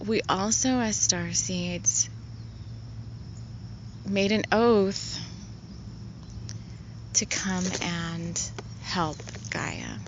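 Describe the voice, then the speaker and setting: A woman spiritual healer in casual, rambling talk, soft-spoken and full of fillers. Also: fairly low pitch, slight background noise.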